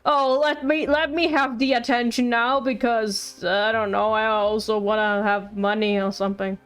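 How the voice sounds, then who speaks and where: A woman live-streaming a game with casual, playful, excitable commentary, joking along as she goes. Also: on the high side, normal speed, clear, resonant tone. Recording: faint game music behind.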